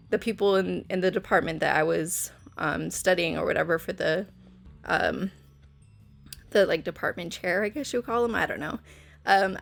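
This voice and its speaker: A woman's voice: fairly low pitch, creaky. A young woman chatting nervously in a voice-over recorded on her phone in her bedroom, rambling with lots of fillers and restarts. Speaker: Sims speed build creator. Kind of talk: casual voice-over chat. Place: bedroom at home. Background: soft background music.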